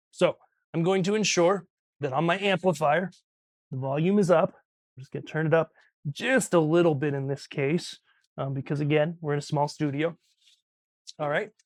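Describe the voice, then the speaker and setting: Higher-voiced man giving a hands-on tutorial. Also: no echo, studio quality. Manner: casual, instructional, frequent "okay" check-ins. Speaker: audio instructor and video host. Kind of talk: hands-on tutorial, explaining step by step. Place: small studio.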